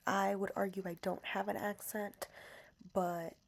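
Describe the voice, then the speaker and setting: A woman's voice: medium pitch, flat delivery, unhurried. Chatty young New Jersey woman vlogging. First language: Spanish. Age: 20s. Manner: chatty, playful, emphatic repetition. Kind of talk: casual diary vlog voice-over.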